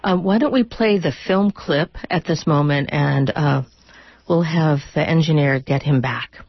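A woman's voice: deep voice, measured pace, slightly rough, dry recording, muffled recording. A woman hosting a casual radio interview, with tentative, rambling phrasing. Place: radio studio.

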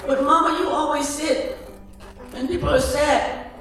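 A man's voice: very high voice, steady tone, a little slow, fairly bright tone, voice pushed up, full character voice. A man voicing a kind-hearted, curious young girl. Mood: earnest. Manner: earnest, questioning. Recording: echoey room from far off, faint music.

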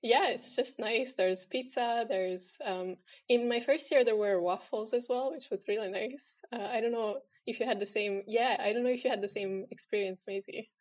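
A woman's voice: a little high, moderate pace. A young woman from Italy, a student guest, talking casually with lots of uh and um. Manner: relaxed, many fillers like uh and um. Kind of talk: casual interview chat.

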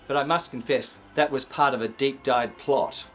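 An actor playing a friendly, charming gentleman in a radio drama, genial and polite. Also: mid-range voice, fairly even intonation, moderate pace, faint music behind, close to an everyday voice. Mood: courteous. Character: friendly, charming gentleman neighbour courting a mother. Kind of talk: old-time radio drama acting.

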